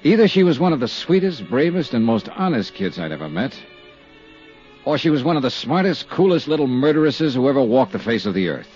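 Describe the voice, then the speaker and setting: An American actor playing a world-weary hardboiled insurance investigator in a 1950s radio drama, with clipped, dryly emphatic delivery. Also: male voice, on the high side, animated, fairly quick, darkish tone, faint music behind. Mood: brooding. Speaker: radio drama lead and narrator. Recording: muffled vintage 1950s radio recording.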